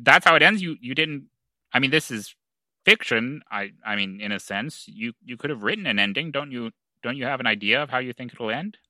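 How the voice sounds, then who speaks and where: A man's voice: mid-range voice, animated, fairly quick, pushed and bright, no room sound, rough recording. A man playing an earnest, grandiose small-town figure with mock-epic declamation in a comedy audio drama. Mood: hesitant, candid. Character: earnest, grandiose man narrating an amateur stage play.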